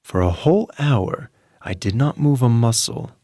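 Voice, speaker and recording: A man giving a scripted dramatic reading as narrator, confiding and intense, stretching out hushed words with a feverish edge. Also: mid-range voice, animated, no room sound, studio quality. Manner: intense, confiding first-person telling with hushed drawn-out words.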